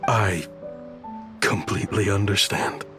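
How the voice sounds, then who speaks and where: A man playing a weary, remorseful protector of children in a video game, speaking in a halting, earnest way. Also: lowish voice, pushed and bright, soft background music. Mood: guilty, humbled. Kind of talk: dramatic video game voice acting.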